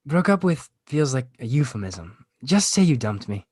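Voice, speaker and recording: A man acts out a teenage boy in a comedy table read. He is petulant and awkward, a little whiny and sarcastic, with lively pitch movement in a mid-range voice. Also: moderate pace, breathy edge.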